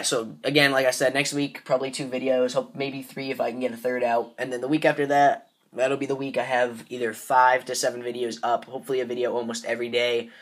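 A teenage boy hosting a gaming channel, sick and stuffed up with a sore throat, rambling casually with lots of fillers while opening card packs. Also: medium pitch. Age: teens. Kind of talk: casual pack-opening commentary.

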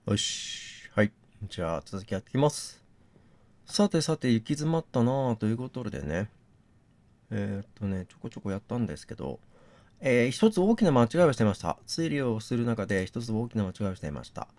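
A man doing gaming commentary, thinking out loud and reasoning step by step, mixed with reading text aloud. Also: mid-range voice, sing-song, brisk. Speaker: game streamer reading on-screen text aloud.

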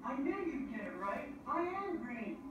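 A woman voicing a small, eager young male engine, talking excitedly. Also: sits high, measured pace, highs cut off. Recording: echoey and distant, with background noise.

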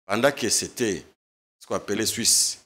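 A man's voice: on the low side, normal speed, fairly bright tone, husky. A man in his thirties from eastern Congo, a lawyer speaking as a studio guest, emphatic and impassioned, often repeating words. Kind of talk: studio political interview. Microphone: desk microphone.

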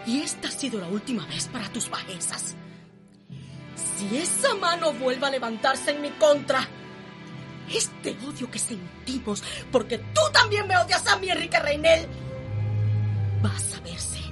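An actress with a Cuban accent playing a proud, defiant wronged young wife in a radio soap opera, vehement in delivery. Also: female voice, sits high, sing-song, measured pace. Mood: bitter defiance. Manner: impassioned, vehement. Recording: loud music behind the voice.

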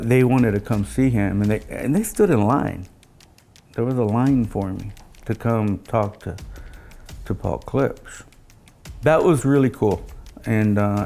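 Older male interviewee, mellow and crackly, halting anecdotal delivery, casual recollection. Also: lowish voice, gravelly. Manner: halting, anecdotal storytelling with quoted dialogue. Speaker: loudspeaker engineer interviewee. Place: living room of a home, indoors.

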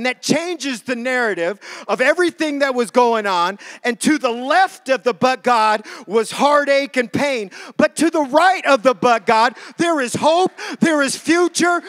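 A man's voice: very high voice, fairly bright tone. A man preaching a church sermon in an informal, conversational way, repeating phrases for emphasis. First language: not English (says English is not his first language).

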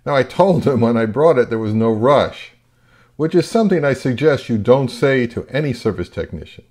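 A man's voice: medium pitch, expressive, moderate pace, a little mellow. A man hosting an audio gear vlog, talking in a casual, informal way. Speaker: host of an audio repair vlog. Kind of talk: casual chat and informal video narration.

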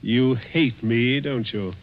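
An actor playing a gloating older millionaire villain in a vintage radio drama, menacingly polite and unctuous. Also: male voice, medium pitch, slow, lightly acted. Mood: mocking. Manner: unctuous, drawling, chuckling menace. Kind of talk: 1940s radio drama acting. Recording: muffled, noisy vintage radio recording.

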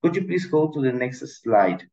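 A man in his thirties from Ecuador, giving a measured panel presentation over a video call, with frequent restarts. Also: medium pitch, expressive, darkish tone, lo-fi sound. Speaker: education manager, panelist. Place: indoor room. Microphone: video call webcam.

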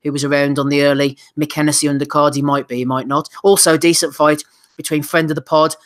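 Brisk male podcast host, slightly hoarse. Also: fairly high pitch, level tone. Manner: brisk, reads out listener questions. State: losing his voice. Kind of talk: casual boxing chat.

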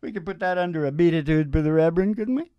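A man's voice: a little high, fast, highs cut off, crowd noise. An older man in casual, folksy stage banter on a vocal microphone, speaking in a laid-back way. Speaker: singer and show host. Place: small indoor stage with curtains. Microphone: stage vocal microphone on a stand. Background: acoustic guitar.

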